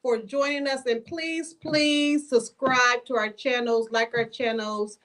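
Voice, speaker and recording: A woman's voice: on the high side, steady tone, slow pace, a bit bright. A woman hosting a live-streamed author talk in a bookshop in front of a small audience. She is upbeat and chatty, laughs often, and speaks into a handheld mic. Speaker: bookshop event host. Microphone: handheld microphone.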